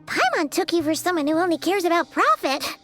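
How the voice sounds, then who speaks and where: Very high, squeaky little fairy companion. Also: female voice, very animated, brisk, a bit bright, exaggerated voice. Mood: curious chatter. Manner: refers to self in third person, exclamatory. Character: small chirpy childlike fairy companion, excitable and nosy. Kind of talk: video game voice acting. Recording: music behind the voice.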